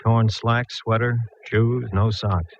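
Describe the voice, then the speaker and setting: A man's voice: lowish voice, fairly even intonation, slow pace. A muffled vintage radio recording with a light hiss features an easygoing male police inspector. He talks with dry, familiar humor in the manner of 1940s detective drama. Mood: businesslike. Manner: dryly joking, familiar. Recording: muffled, faintly hissy old radio recording.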